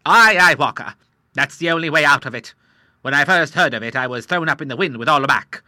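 A man's voice: expressive, fairly quick, sharp tone, no echo, clean studio sound. A man reading an audiobook, voicing a hearty old naval admiral in his sixties who talks boisterously in nautical terms. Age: 60s. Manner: booming, boisterous, nautical talk.

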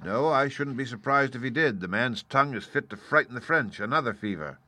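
Man as a brisk, bright-voiced gentleman ship's doctor. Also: medium pitch, animated, no room sound, voice pushed up. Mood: pleasant, wry. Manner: breezy, educated, dryly mocking.

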